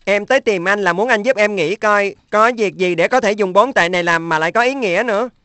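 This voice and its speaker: Schoolboy, middle sibling, played by a woman. Mid-pitched, earnest and a touch plaintive, children's cartoon dub. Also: brisk, fairly bright tone, dry recording, lightly acted. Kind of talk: Vietnamese-dubbed children's cartoon.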